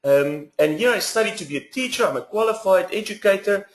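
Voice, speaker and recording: Higher-voiced South African man hosting a webinar. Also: expressive, roomy sound. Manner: earnest, persuasive, with filler pauses. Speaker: webinar host. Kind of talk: webinar presentation.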